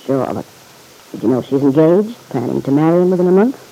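Stern, insistent man, husky and mellow. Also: on the high side, animated, fairly quick, poor audio. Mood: probing. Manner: blunt, slangy, accusing. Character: stern, insistent man pressing a woman for the truth. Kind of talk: old-time radio serial acting.

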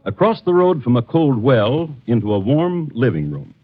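A man's voice: medium pitch, big pitch swings, moderate pace, slightly rough. Old-time radio host guy, wry theatrical storytelling, music under, kinda muffled. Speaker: host and narrator. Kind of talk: 1950s radio scripted dramatic narration.

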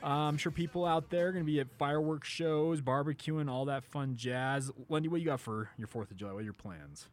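A man's voice: a little high, brisk, hardly any pauses, soft background music. A man with an American accent hosting a casual sports radio talk show, chatting and steering the conversation.

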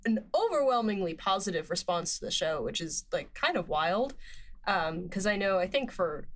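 A woman's voice: mid-range voice, big pitch swings, moderate pace, slight background noise. A woman hosting a podcast in casual, unscripted chat, phrasing things informally and a little hesitantly. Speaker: podcast host and series writer.

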